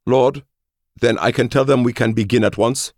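A man's voice: mid-range voice, steady tone, moderate pace, a little mellow, dry recording, clean studio sound, voice pushed up, lightly acted. A man voicing an eager, deferential subordinate in an audiobook, formally reporting to a lord. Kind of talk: audiobook character voice.

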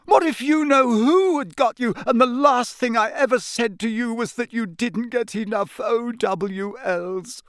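A woman's voice: expressive, takes it slowly, vocal fry, dry recording, studio quality, exaggerated voice. A man putting on a frantic tearful mother. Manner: high, tearful, pleading. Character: frantic worried mother, middle-aged woman.

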